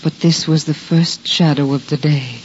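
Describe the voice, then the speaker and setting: Woman acting gentle, devout biblical mother. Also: deep voice, fairly even intonation, a little hiss or hum, natural voice. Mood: foreboding. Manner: solemn, measured, formal. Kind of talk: 1950s radio drama narration. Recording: dull 1950s radio recording, music behind.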